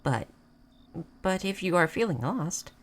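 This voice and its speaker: Woman playing a low-voiced, naive, gentle moth creature. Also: sing-song. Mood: soft wonder. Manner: halting, simple formal phrasing without contractions. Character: gentle, naive, curious moth person learning human things. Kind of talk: scripted roleplay audio addressed to the listener.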